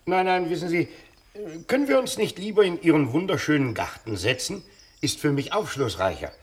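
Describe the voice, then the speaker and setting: An actor playing a smug, officious tax inspector in a German radio drama, chatty and unctuous with false courtesy. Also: male voice, fairly high pitch, very animated, moderate pace, breathy edge. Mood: smug, nosy.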